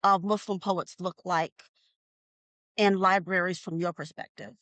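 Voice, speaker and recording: A woman's voice: lowish voice, steady tone, measured pace, dry recording. Encouraging female interviewer, dry room. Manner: warm, encouraging, laughs along. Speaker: interviewer, host. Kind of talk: interview questions.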